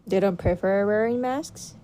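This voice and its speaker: A woman from Japan, speaking, co-hosts a casual podcast in a mellow, warm voice. She is chatty and likes to playfully contradict. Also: medium pitch, normal speed. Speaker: podcast co-host.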